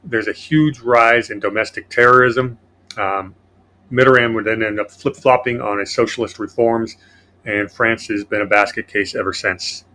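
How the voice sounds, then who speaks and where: A man's voice: lowish voice, fairly even intonation, moderate pace, pushed and bright, rough recording. A man hosting a casual political podcast, reading from his notes in a sardonic way. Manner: sardonic, reads notes aloud. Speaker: podcast host.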